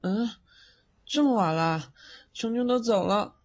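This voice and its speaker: Man playing a high-voiced, childlike boy ghost. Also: unhurried. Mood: pouty. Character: sweet, childlike, naive teenage boy ghost. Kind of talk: Mandarin audio drama acting. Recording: slightly dull audio, faint music behind.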